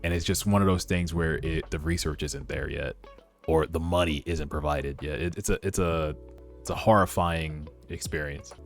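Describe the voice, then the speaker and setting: Male read-along host, deep register, slangy and conversational, reacting over background music. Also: moderate pace, gravelly. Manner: informal, slangy, conversational asides. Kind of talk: casual reaction commentary mixed with narrated reading. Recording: music under the voice.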